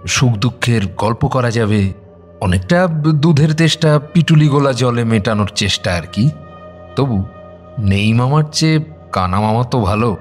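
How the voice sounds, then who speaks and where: Guy acting eager tech salesman in an audio play, mid voice, talks you into it, soft music under. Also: medium pitch, lightly acted. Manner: explanatory, persuasive patter. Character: eager, persuasive male technician selling memory-storage robots.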